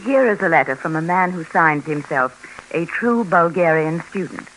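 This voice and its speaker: Male 1950s radio spokesman, slightly high, crisp bright tone, formal, earnest, faint noise. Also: expressive, normal speed. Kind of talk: scripted 1950s radio documentary reading. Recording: noisy vintage 1950s radio recording.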